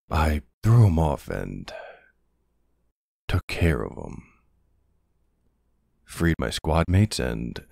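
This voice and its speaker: A man playing a protective older ex-military boyfriend, gruff but tender, in an intimate ASMR roleplay with a gravelly, husky, soothing voice. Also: rumbling low, no echo, studio quality, heavily acted. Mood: somber recollection. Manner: slow, hesitant, soothing.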